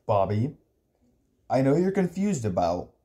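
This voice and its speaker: A man voicing a calm, strong uncle in an audiobook, speaking slowly, firmly and patiently. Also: mid-range voice. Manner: slow, firm, patient. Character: calm, firm, strong adult uncle and mentor.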